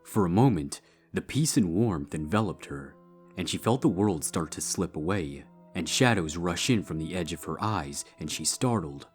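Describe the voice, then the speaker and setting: A man's voice: deep voice, animated, normal speed. A man giving a scripted narration of a story as its narrator. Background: background music.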